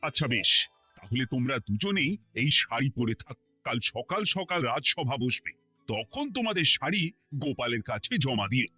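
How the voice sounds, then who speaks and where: Excitable cartoon king, wildly swooping voice. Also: medium pitch, moderate pace, slightly rough, soft background music, full character voice. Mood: expectant. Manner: fretful, imperious. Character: anxious, excitable king in a folk-tale cartoon. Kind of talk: cartoon voice acting.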